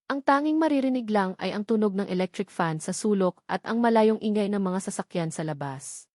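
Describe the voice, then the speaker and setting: A woman reads a scripted romantic drama as its narrator. Her storytelling is earnest and emotive, pitched in the middle range. She is recorded close, with a very dry sound. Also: expressive, fairly quick, a little mellow, no echo. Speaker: audiobook narrator.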